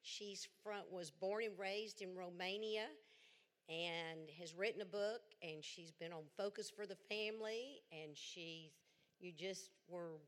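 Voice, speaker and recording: A woman hosting a church evening, chatty and a little halting, introducing a guest and leading a prayer. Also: on the low side, fairly even intonation, fairly bright tone. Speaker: event host. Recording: long church hall reverb.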